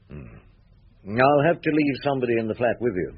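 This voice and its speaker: An actor playing a calm, shrewd chief inspector in a radio drama, measured and musing as he questions people. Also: medium pitch, slightly rough. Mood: probing, patient. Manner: measured, musing, with hums and pauses. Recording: muffled radio drama with background noise.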